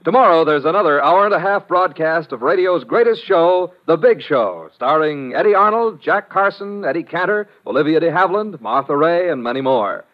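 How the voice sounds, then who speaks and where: A man's voice: a little high, animated, moderate pace, hardly any pauses. A man with an American accent reading scripted credits and promos as a 1950s radio announcer. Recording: muffled vintage radio recording, music behind.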